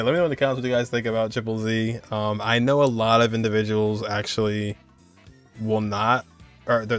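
A young man with a fairly low voice talks casually as he reacts to game footage. He hosts in an informal, conversational way, with faint music behind him. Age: young adult. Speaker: gaming reaction video host.

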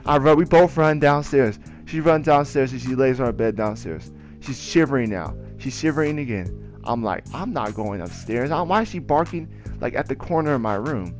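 A young man telling personal stories in a casual, rambling, slangy and excitable way. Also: medium pitch, normal speed. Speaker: video creator telling personal ghost stories. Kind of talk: casual storytelling over gameplay. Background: music.

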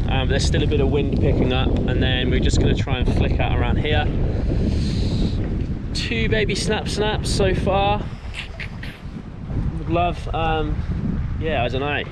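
A man, giving relaxed, muttered commentary while fishing from a small boat outdoors. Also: medium pitch. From Australia. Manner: relaxed, muttering to himself between casts. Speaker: fishing vlogger. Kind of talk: casual running commentary. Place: small open boat on a windy creek, outdoors. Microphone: action camera mounted on the boat. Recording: wind-blasted action camera audio. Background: loud wind and boat noise.

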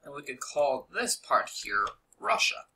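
A man's voice: mid-range voice, very animated, measured pace, vocal fry, sharp tone, low-quality recording. A young man casually narrating a game-building tutorial over a screen recording, rambling with many fillers and asides.

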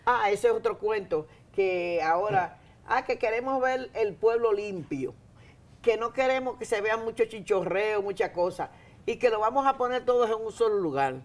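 A woman's voice: medium pitch, animated, normal speed. An emphatic, chatty woman in her fifties with a Dominican accent hosts a studio talk show. She speaks through a lapel mic and keeps turning to the audience. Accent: Dominican Spanish. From Dominican Republic. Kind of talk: casual studio interview. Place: TV studio set, seated in an armchair.

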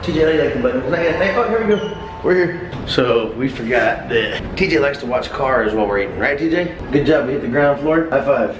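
A man's voice: medium pitch, expressive, fairly quick, background noise. A man in his thirties, a family vlogger and dad, chatting playfully to his kids and a handheld camera held at arm's length indoors. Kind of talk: casual family vlog chat. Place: hotel room, lift and restaurant indoors. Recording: music playing underneath.

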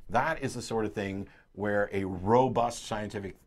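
A man's voice: fairly low pitch, normal speed. Middle-aged man hosting a call-in show. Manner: emphatic, explanatory, gestures while talking. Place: studio, at a desk. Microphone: lapel mic.

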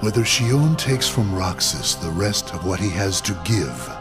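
A man voicing a cold, commanding villainous leader in a game cutscene, slow and grave. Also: low voice, sing-song, over music. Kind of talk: video game cutscene acting. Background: game score.

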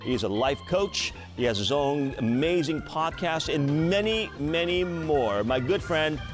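A man's voice: a little high, animated, measured pace, over music. A middle-aged man hosting a late-night talk show in an effusive way, often repeating and restarting his phrases. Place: TV studio.

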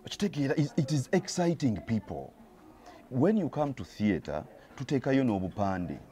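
A man's voice: mid-range voice, big pitch swings, normal speed, warm tone. A Ugandan man in his forties, a theatre actor giving an outdoor street interview, talking emphatically and lecturing, sometimes rising to heated bursts. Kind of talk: street interview, mixing Luganda and English. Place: outdoors by an orange door. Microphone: handheld reporter mic.

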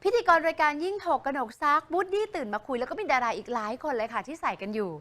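Bright, very high-pitched woman host. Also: big pitch swings, fast. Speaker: entertainment show host. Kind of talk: presenting to TV viewers.

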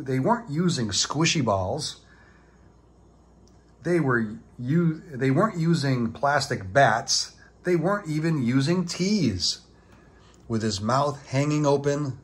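A man reading a children's book aloud in an expressive, deliberate storytelling style. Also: mid-range voice.

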